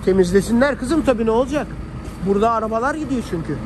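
A man's voice: very high voice, animated, rapid-fire. A man chats casually and colloquially to his viewers as a family vlogger. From Turkey. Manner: chatty, colloquial, addresses viewers as friends. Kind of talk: casual vlog chat to viewers. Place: outdoors on a snowy city street. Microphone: handheld phone while filming. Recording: outdoor phone audio, faint street noise.

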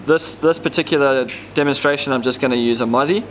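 Relaxed man demonstrating tackle. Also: mid-range voice, fast, a little hiss or hum. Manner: relaxed, explains step by step with frequent ums. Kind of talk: casual how-to demonstration. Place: indoor tackle shop counter.

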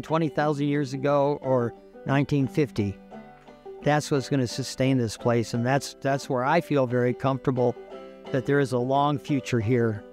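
Older man, expert interview, measured and explanatory, mid-pitched, light music bed. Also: a little mellow. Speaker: archaeologist, stone-tool analyst. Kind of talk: documentary interview. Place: outdoors, open yard at dig site.

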